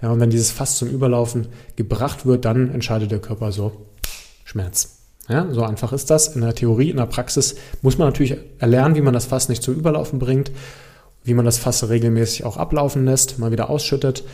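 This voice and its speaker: A man's voice: mid-range voice, steady tone, a little fast, dark tone. A man working as a host and coach, answering audience questions in an informal, explanatory way, speaking directly to the listener with frequent little check-in tags. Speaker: host, pain and movement coach.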